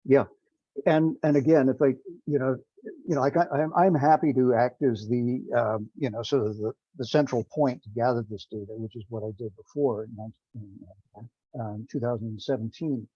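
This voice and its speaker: A man giving an informal technical presentation on a teleconference, speaking in a halting, rambling way. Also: mid-range voice, steady tone, dark tone. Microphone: remote teleconference call. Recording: dull, roomy conference-call audio.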